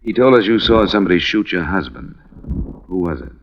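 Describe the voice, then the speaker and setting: A deep-voiced American investigator, tough and level-headed. He talks plainly and businesslike, in vintage radio drama style, on a dull old recording. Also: lively intonation, brisk. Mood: businesslike, steady. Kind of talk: 1950s radio detective acting.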